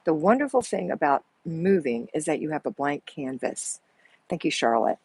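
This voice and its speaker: A soft-spoken woman, hosting a casual live chat and answering viewers like a chatty, motherly coach who calls them honey. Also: deep voice, big pitch swings, normal speed, breathy edge, dry recording. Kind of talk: casual live-stream chat reading comments. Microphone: earbuds with mic.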